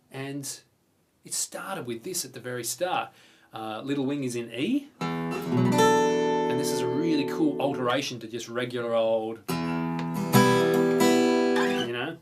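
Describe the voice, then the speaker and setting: A man with an Australian accent presenting a casual guitar lesson in an informal, chatty, enthusiastic manner. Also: mid-range voice. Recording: guitar playing prominent alongside the voice. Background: acoustic or electric guitar strumming.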